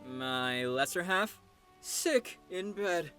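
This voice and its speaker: A woman's voice: fairly low pitch, lively intonation, drawn-out words, fairly bright tone, soft background music, heavily acted. A woman playing a cheeky, free-spirited young male student in an audio drama, teasing and flamboyant. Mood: nonchalant.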